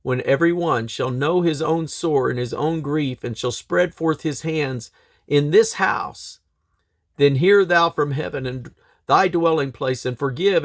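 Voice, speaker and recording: A man reads aloud from the King James Bible in a solemn, measured, declamatory way, phrase by phrase. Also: on the high side, dry recording, clean studio sound. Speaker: scripture reader and host.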